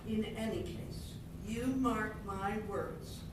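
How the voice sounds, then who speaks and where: A woman's voice: medium pitch, steady tone, takes it slowly, noisy background, natural voice. An older woman playing a gossipy, knowing neighbor in a readers theatre show, recorded in a roomy hall from far off. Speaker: amateur readers theatre actor. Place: senior center hall.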